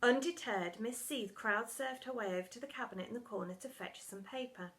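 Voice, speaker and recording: A woman's voice: on the high side, a little fast, a bit bright. A woman narrating a children's story in a dramatic storytelling style, as for primary school pupils.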